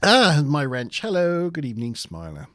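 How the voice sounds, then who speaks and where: Deep-voiced man hosting a show. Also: wildly swooping pitch, moderate pace, fairly bright tone, no echo, studio quality. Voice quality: deep, boomy. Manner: jokey, laughs often, playful announcer voice for the intro. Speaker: podcast host. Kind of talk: casual livestream chat. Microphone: good desk mic.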